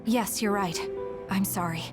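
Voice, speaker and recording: A woman's voice: medium pitch, unhurried, natural voice. An actress playing a reserved, introspective young female soldier in a video game cutscene. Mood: pensive. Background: loud music.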